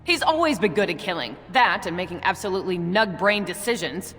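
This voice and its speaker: An actress playing an exasperated, sharp-tongued dwarf woman in a video game, with sardonic, cutting delivery. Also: lowish voice, lively intonation, fairly quick, big-room sound, faint music behind. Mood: bitter.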